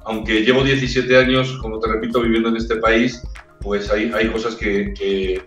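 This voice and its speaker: A man's voice: lowish voice, little pitch movement, moderate pace, fairly bright tone, dull audio. Spanish man on an echoey video call. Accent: Spanish from Spain. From Spain. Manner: thoughtful, repeats words while searching for them. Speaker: opera-trained ranchera singer, guest. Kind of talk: casual interview chat. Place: indoor room with brick wall. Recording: echoey room, far from the mic, faint music.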